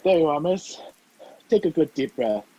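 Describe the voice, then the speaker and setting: A man acting a gallant, puzzled gentleman in a radio play, courteous and questioning as he takes charge. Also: a little high, expressive, normal speed, breathy edge, lo-fi sound. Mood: commanding, reassuring. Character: gallant, puzzled gentleman helping a frightened stranger. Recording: video call with faint background noise.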